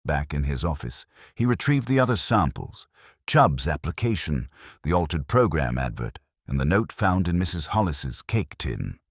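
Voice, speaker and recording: Male narrator for scripted mystery fiction: very low, lively intonation, dry close sound. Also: moderate pace, breathy edge, dry recording. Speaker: audiobook narrator.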